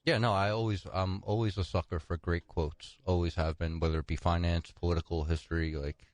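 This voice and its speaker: A man's voice: low-pitched, little pitch movement, a little fast, no echo. A man co-hosting a financial talk show, moving between prepared article summaries and conversational comments. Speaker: co-host presenting financial newsletter articles.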